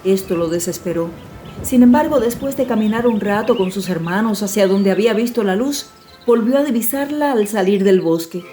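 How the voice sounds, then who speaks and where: A woman storyteller reading a scripted fairy tale in an expressive, measured way. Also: mid-range voice, over music. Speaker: audiobook storyteller.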